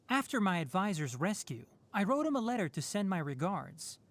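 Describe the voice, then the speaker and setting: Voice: medium pitch, sing-song, moderate pace. Casting: a youthful male fox-eared forest scholar for a game, articulate, lecturing, dry-witted. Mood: conversational, wry. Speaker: game character voice actor. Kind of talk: scripted game character voice lines.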